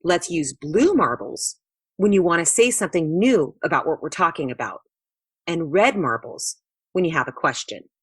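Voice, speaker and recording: A woman's voice: fairly low pitch, animated, moderate pace, slightly rough, no room sound. A woman voicing a young girl who organises games and explains things expressively in a children's storybook. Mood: eager, explaining.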